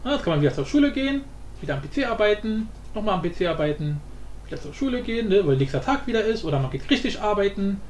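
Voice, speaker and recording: A man's voice: sits high, very animated, fast, darkish tone. A man hosting a casual screencast tutorial, thinking aloud in an informal, improvised way. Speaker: tutorial host. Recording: roomy echo, faint background noise.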